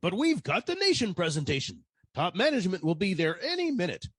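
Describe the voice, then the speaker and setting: A man's voice: high-pitched, huge pitch swings, a little fast, a bit bright, breathy edge, dry recording, clean studio sound, full character voice. A man playing a brash ad agency boss in a comic radio play, with theatrical salesman's patter. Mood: bossy, peeved.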